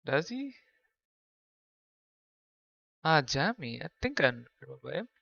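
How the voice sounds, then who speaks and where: A man's voice: fairly high pitch, vocal fry, sharp tone, dry recording. A man hosting a casual gaming livestream, chatting with viewers in a half-distracted way. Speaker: game streamer, host. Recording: dull, lo-fi microphone sound.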